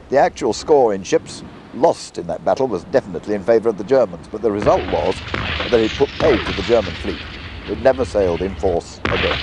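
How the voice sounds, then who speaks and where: A British man presenting a documentary, telling the story in a measured, scripted way with conversational asides. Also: lowish voice, noisy background.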